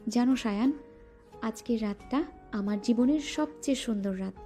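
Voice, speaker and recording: A woman's voice: on the high side, moderate pace, dark tone, faint music behind, close to an everyday voice. A woman voicing a playful young woman in love, teasing and coy, in a romantic audio drama. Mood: tender. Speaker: voice actor.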